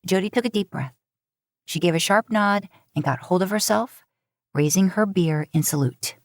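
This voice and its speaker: Low-voiced woman narrating fiction, close and dry. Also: moderate pace, no echo. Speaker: podfic narrator. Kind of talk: scripted narration of fan fiction.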